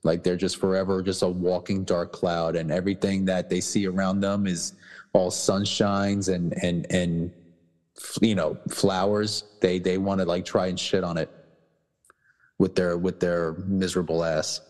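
A man co-hosting a casual podcast, talking bluntly with many restarts and swearing, his voice going hoarse. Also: sits low, little pitch movement, a little slow, a little mellow, long reverb tail. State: losing his voice. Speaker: podcast co-host.

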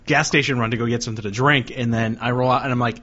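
A man's voice: medium pitch, fairly even intonation, quick pace, bright, forceful tone, muffled recording. A man on a casual podcast who makes wry quips.

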